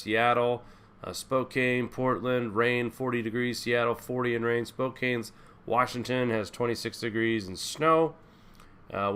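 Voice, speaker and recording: Smooth-voiced man hosting a stream. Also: medium pitch, fairly bright tone. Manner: folksy, addresses viewers as guys and folks. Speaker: host. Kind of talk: live news stream with weather forecast.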